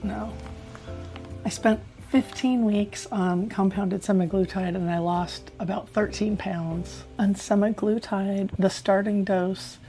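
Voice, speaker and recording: Hoarse, mellow-voiced woman vlogger. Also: medium pitch, fairly even intonation, slow pace, faint music behind. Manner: candid, chatty, self-reflective. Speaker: health vlogger. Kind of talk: personal vlog to camera.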